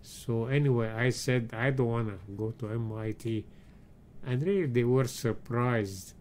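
A man in his seventies from Egypt, telling his life story slowly and haltingly in an oral history interview at his home. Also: medium pitch, a little mellow, slight background noise. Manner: slow, halting storytelling with many pauses and fillers. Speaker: interviewee, retired engineering professor.

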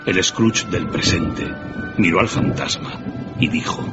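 Man, very low voice, dramatic storytelling narrator, music behind. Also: slow, dull audio.

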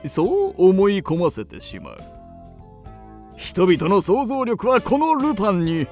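A man acting a suave, boastful gentleman thief in a radio drama, theatrical and grandiose. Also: high voice, wildly swooping pitch, slow pace, faint music behind, exaggerated voice. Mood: proud, musing.

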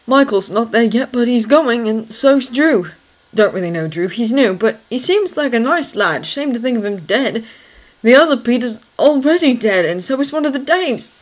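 A woman's voice: a little high, lively intonation, normal speed, pitched above the performer's own voice. A grieving young servant man, acted by a woman narrator, speaks in raised, agitated tones. He is cross and bitter, throwing out curt British slang with a sarcastic edge.

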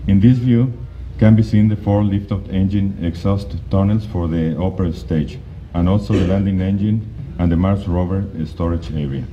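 Low-voiced man presenting slides. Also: steady tone, mellow. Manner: halting, with frequent restarts and fillers. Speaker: conference presenter. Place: conference hall. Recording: dull, lo-fi recording with faint noise.

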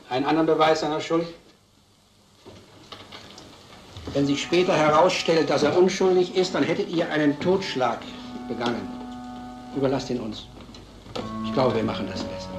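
An actor playing a calm, shrewd veteran police detective, measured, dry and authoritative, in an old crime drama. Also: male voice, on the high side, lightly acted. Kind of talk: mid-century German film drama acting. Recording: roomy old soundtrack, some noise.

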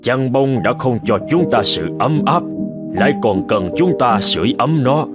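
A man voicing an elderly, wise Buddhist monk in a cartoon folk tale, patient and measured as he teaches. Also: medium pitch, lively intonation, over music, natural voice. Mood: calm, instructive. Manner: measured, gently instructive. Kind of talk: animated folk tale dialogue.

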